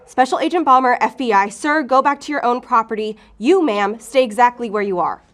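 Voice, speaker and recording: A woman's voice: fairly high pitch, brisk, fairly bright tone, lightly acted. A woman acting in an audio drama as a hard-edged FBI special agent, blunt, probing and short-tempered. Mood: angry, rattled.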